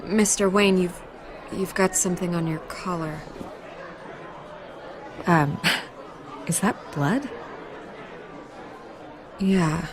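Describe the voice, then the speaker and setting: Slow-speaking, poised young woman. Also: lowish voice, steady tone, crowd noise. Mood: curious, probing. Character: poised, inquisitive young woman, teasing party guest. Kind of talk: video game voice acting.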